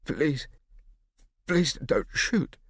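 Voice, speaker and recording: A man's voice: fairly low pitch, sing-song, takes it slowly, fairly bright tone, husky, no room sound, studio quality, heavily acted. A man voicing a prickly, sulky boy who hisses his words and stammers when he is scared.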